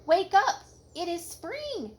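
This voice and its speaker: A woman voicing an eager, friendly male frog in a children's story, calling out in an excited, urging way. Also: extremely high pitch, slow pace, voice pushed up, heavily acted. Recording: home recording with background noise.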